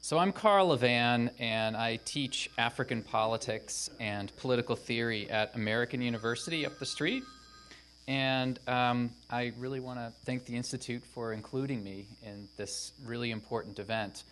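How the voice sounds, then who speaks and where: Professor talking at a panel over faint hum. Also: male voice, medium pitch, normal speed, a bit bright. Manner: conversational, lots of ums. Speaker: professor of African politics. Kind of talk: academic panel talk. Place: conference room. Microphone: microphone at a podium.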